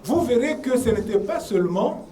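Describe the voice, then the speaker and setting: A man's voice: very high voice, expressive, moderate pace, mellow. A man arguing his case at a conference debate, emphatic and lecturing, often repeating words as he presses a point. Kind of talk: argued public talk on the constitution. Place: conference room. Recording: distant mic with faint room noise.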